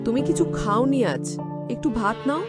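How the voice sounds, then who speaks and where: A woman voicing a caring, sensible village woman in a cartoon, gently scolding and moralising. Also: on the high side, normal speed, natural voice. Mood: concerned. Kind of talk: animated moral story dialogue. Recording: loud music under the voice.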